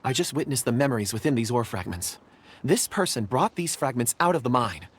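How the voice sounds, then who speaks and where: A man voicing a gentle, curious, polite travelling companion in a video game, mild and expressive. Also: mid-range voice, fairly quick, husky. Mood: earnest.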